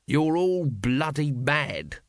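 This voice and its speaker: Man as a fed-up male watch captain, indignant, blustering, animated pitch, storybook character. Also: normal speed, dry recording, studio quality. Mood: exasperated shouting. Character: gloomy, exasperated male city watch captain.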